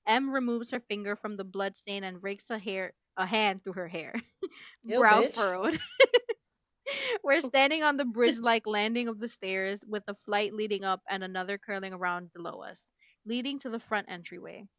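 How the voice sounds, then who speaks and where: Bright, theatrical woman reading aloud. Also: medium pitch, expressive, moderate pace, no room sound, dull audio. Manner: theatrical, abrupt swings into loud emphasis. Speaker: book club podcast host. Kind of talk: dramatic novel read-aloud with casual asides.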